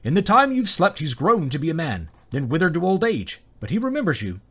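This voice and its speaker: A man's voice: a little high, huge pitch swings, a little fast, a bit bright, breathy edge, pitched above the performer's own voice, full character voice. A man voicing a humble, kindly but nervous farmer in a fantasy story, earnest and tentative. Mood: earnest, cautious.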